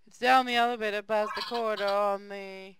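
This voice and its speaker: A woman's voice: medium pitch, steady tone, a little fast, a bit bright, slight background noise, close to an everyday voice. A woman playing an earnest space navy officer in a visual novel read-aloud.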